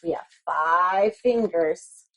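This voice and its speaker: A woman's voice: on the low side, takes it slowly, a bit bright, lo-fi sound. A woman teacher giving step-by-step craft instructions to young children, speaking simply and encouragingly with repeated reminders. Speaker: elementary school teacher.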